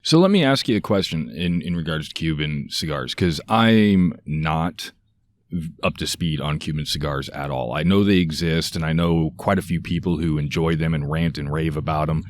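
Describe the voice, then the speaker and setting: A man's voice: very deep voice, moderate pace, no echo. A man co-hosting a casual podcast outdoors, joking and rambling through strange comparisons. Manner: rambling, jokey, fond of odd metaphors. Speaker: podcast co-host. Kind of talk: casual chat. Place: outdoors, light breeze.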